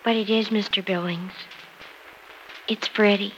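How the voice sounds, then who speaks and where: Actress as timid, naive young American wife. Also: female voice, medium pitch, fairly even intonation, highs cut off, close to an everyday voice. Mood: worried. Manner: timid, halting, wide-eyed. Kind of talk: old-time radio soap opera acting. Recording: noisy old radio transcription recording.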